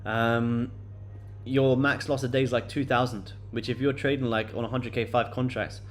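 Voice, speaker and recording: A man's voice: mid-range voice, little pitch movement, normal speed. A man in his late twenties chatting loosely to viewers on a live stream, with many fillers and repeated words. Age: late 20s. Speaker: day-trading livestream host. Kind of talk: casual live-stream chat answering viewers.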